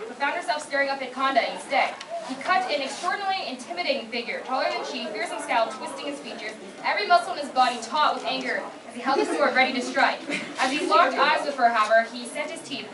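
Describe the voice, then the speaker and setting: A woman's voice: high voice, fast. A young woman reading scripted fan fiction narration aloud at a convention panel. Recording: echoey noisy room, far from mic.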